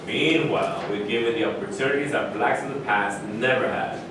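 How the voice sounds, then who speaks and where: A proud retired athlete, played by a man, argues with self-assurance in a staged play reading. The sound comes from far off in a very echoey room, over clear rumbling noise. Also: fairly quick, natural voice. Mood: lecturing. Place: live staged reading in a room, distant microphone.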